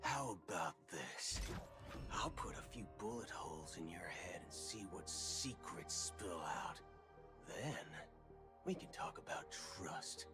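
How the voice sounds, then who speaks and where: A man's voice: low-pitched, animated, takes it slowly. A man playing a brash, hot-tempered cowboy gunslinger in a game, theatrical, sarcastic and threatening. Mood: menacing. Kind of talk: scripted game voice acting. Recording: music behind the voice.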